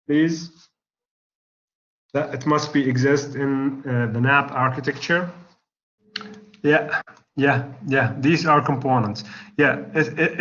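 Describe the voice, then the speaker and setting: A male lecturer with an Arabic accent, losing his voice, teaching a class in a halting way with many pauses and restarts. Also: medium pitch, a bit bright. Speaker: university lecturer. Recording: slightly dull lo-fi recording.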